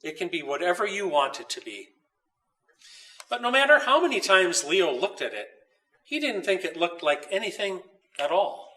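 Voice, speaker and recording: Male storybook reader, higher-pitched and crisp-edged bright tone, deliberate phrasing, recorded a little off the mic. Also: big pitch swings, far from the mic, poor audio. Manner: measured, deliberate storytelling with pauses. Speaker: author reading own story. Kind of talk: children's storybook read aloud. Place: old dairy barn.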